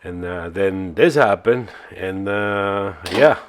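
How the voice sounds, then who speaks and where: Deep-voiced man narrating woodturning. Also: slow pace, slight background noise. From Argentina. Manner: relaxed and self-mocking, with many uh fillers. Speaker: woodturning channel host. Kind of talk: casual voice-over narration.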